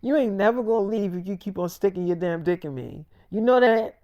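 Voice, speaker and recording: A man's voice: sits high, fairly quick, darkish tone, no echo, muffled recording, lightly acted. A man voicing an affectionate young wife and new mother in a storytime, talking in a casual, intimate, teasing way.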